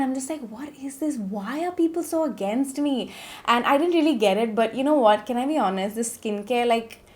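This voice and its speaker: A woman's voice: mid-range voice, darkish tone. A woman of thirty with a nasal voice from a cold, chatting casually in a beauty vlog and often checking in with "okay?". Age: 30s. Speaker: beauty vlogger.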